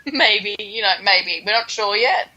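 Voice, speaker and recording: A woman's voice: mid-range voice, huge pitch swings, takes it slowly, bright, forceful tone, highs cut off. A woman co-hosting a podcast in casual comedic chat, with a playful, mock-dramatic sarcasm. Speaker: podcast co-host.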